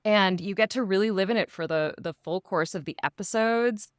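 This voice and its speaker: A woman chatting in a casual interview, expressive and given to dramatizing feelings. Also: medium pitch, moderate pace, no echo, studio quality.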